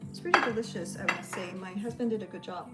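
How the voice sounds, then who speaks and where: A woman chatting casually on a livestream from home as a home cook, rambling in a relaxed way while she packs and snacks. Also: medium pitch, fast talker. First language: English. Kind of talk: casual live-stream chat. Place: home, indoors. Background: lo-fi background music.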